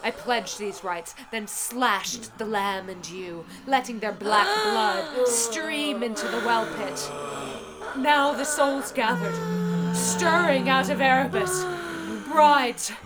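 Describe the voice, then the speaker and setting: Epic seafarer, female actor: grave, measured verse recitation for audio drama, highish voice, noisy ambient backdrop. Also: animated. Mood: fearful, intense. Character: weary seafaring hero Odysseus, grave epic storyteller.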